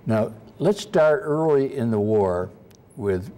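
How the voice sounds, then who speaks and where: A soft-spoken man giving a slide-show history lecture at a convention, halting often with uhs. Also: medium pitch, expressive. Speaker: guest lecturer.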